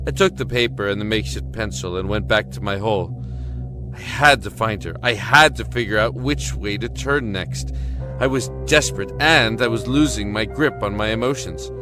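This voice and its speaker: A man's voice: on the low side, natural voice. An actor playing a desperate imprisoned man in an audio drama, telling his story in an earnest, emotive, brooding way. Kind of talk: dramatic first-person audio drama narration.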